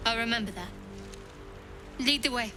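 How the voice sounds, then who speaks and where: A guarded young woman cleric from a fantasy video game, played by an actress. Her voice sits fairly high, and she talks in measured, matter-of-fact phrases with a dry edge. Music plays clearly behind her. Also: close to an everyday voice. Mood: sincere gratitude. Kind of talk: video game cutscene dialogue.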